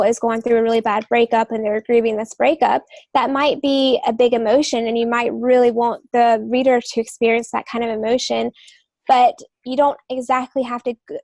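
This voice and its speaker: A woman's voice: on the high side, a little mellow, dry recording. A woman co-hosting a podcast, giving writing advice in an informal, explanatory, conversational way. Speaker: podcast co-host, novelist.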